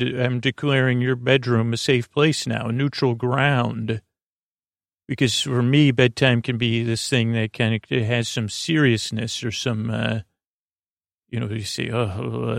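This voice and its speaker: A man with a gravelly, heavily creaky voice talks close and dry, like a bedtime podcast host. He meanders through soothing, halting tangents in a mid-pitched register, lulling and rambling as he goes. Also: no room sound.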